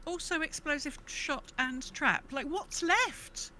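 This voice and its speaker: A woman's voice: high voice, takes it slowly, sharp tone. A woman co-hosting a casual gaming podcast, griping in a wry, exasperated and sweary way. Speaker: podcast co-host.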